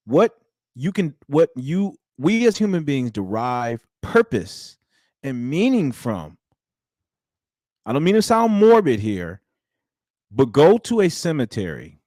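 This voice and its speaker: A man in his thirties hosting a live stream, commenting and preaching to his chat in an animated way. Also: fairly high pitch, dry recording, studio quality. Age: 30s. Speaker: livestream host. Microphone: studio mic with headphones.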